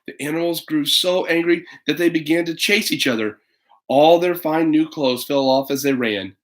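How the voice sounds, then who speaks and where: A man reading a children's picture book aloud in an animated, dramatic way, with chatty asides to a young listener. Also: on the high side, moderate pace. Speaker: storybook reader.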